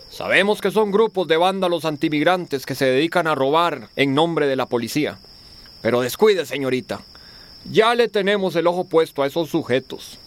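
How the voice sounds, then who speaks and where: A man acting a formal, reassuring police officer in a radio drama, official and measured. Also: a little high, close to an everyday voice.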